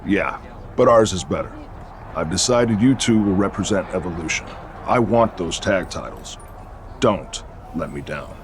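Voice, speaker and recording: Deep, stern veteran wrestling boss. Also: male voice, lively intonation, a little slow, a little hiss or hum. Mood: firm. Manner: curt and authoritative. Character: stern, commanding veteran male wrestler leading a faction. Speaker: wrestler and faction leader. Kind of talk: scripted video game cutscene acting.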